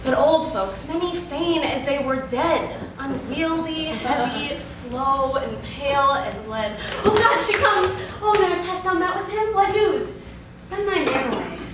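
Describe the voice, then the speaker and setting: Heard in a very echoey room with noise behind, a woman acts an eager young noblewoman in love. Her very high voice pleads impatiently in a declamatory classical theatre manner. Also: takes it slowly, hardly any pauses. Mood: anxious, pleading. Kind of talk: classical stage acting of Shakespeare. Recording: echoey room, distant mic, clear noise.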